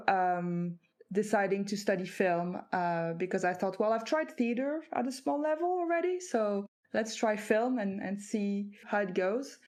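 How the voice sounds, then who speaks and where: A woman from France whose first language is French, talking as an interview guest. Her voice sits in a middle pitch, and her delivery is reflective and unhurried. She pauses on fillers as she gathers her thoughts. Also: darkish tone. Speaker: guest author. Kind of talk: conversational interview.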